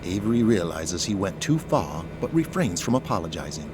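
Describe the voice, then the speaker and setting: A man's voice: sits low, big pitch swings, normal speed, background noise. A man giving dramatic scripted narration as the narrator of an audio drama. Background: music.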